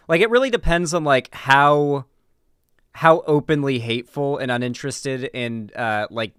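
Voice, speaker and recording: A man with a clear, smooth voice in a dry, close recording. He talks off the cuff like a streamer reacting to something, informal and emphatic, restarting phrases and dropping swear words. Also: medium pitch, fairly bright tone, no echo. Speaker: political commentary streamer. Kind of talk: casual reaction commentary, unscripted.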